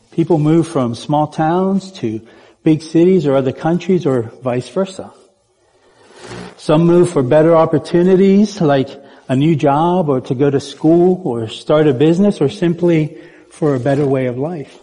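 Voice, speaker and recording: Playful preacher in an echoing church. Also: male voice, a little high, mellow. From Puerto Rico. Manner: conversational, playful, engages the congregation with questions. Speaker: guest preacher. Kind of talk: sermon. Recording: church hall with long reverb.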